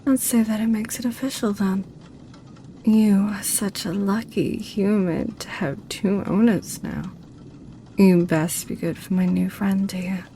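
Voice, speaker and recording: Succubus, female performer: playful, possessive temptress, whispery and unhurried, dark-toned ASMR roleplay. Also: medium pitch, steady tone, a little hiss or hum, close to an everyday voice. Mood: possessive, teasing. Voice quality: breathy, whispery. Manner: teasing, coaxing, slow.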